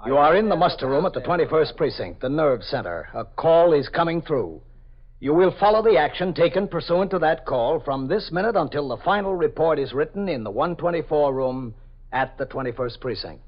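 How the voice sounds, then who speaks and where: An actor playing a police captain narrating a 1950s radio drama in a measured, formal manner. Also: male voice, fairly high pitch, expressive. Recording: dull old radio broadcast.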